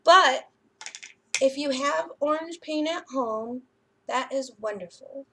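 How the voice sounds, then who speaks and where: A woman hosting a children's story time, reading and explaining crafts in an expressive, sing-song manner for young listeners. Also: sits high, slow, a little hiss or hum. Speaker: library story time host. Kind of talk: children's picture book reading and craft demonstration.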